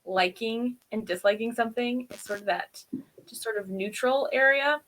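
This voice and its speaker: A woman's voice: fairly high pitch, normal speed, a bit bright, poor audio. A woman co-hosting a review show in casual chat, telling stories in an informal, self-deprecating way. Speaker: podcast co-host reviewing TV episodes.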